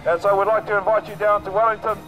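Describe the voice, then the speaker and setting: Hoarse New man on a megaphone. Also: sits high, flat delivery, fast, sharp tone, soft background music, rough recording. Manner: rousing, repetitive, with fillers. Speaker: protest march speaker. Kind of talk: outdoor rally speech through a loudspeaker. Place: outdoors on a city street.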